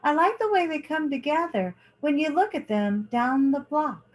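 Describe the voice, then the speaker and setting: A woman reading a children's picture book aloud in short, deliberate phrases, like a teacher. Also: high voice, animated.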